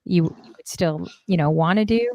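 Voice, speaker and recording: A woman hosting, asking a guest to introduce herself in halting, restarting sentences. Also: fairly low pitch, a little mellow, no room sound, lo-fi sound. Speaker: host. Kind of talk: interview questions.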